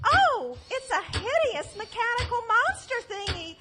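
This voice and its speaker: Swooning widow, actress: piping high, slow, gushing, melodramatic, comic live audio drama. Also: female voice, sing-song, loud music behind the voice, full character voice. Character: breathless, swooning young widow, melodramatic damsel. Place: stage, live audio theatre performance.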